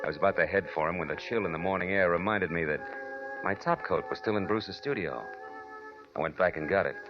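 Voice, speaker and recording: Low voiced guy acting a tired sardonic private eye, old forties radio sound, music under it. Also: muffled recording, natural voice. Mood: reflective, tense. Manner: dry, deliberate, sardonic storytelling. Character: wry, weary, hard-boiled male private detective. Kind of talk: 1940s radio noir narration and acting. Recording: band-limited 1940s radio recording with music.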